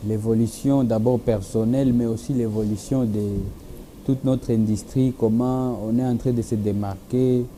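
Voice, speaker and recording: Young Congolese musician, hesitant and mellow. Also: male voice, mid-range voice, fairly even intonation, normal speed, a little hiss or hum. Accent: Congolese French. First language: Swahili. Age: young adult. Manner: hesitant, many fillers. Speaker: musician guest. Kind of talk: television interview.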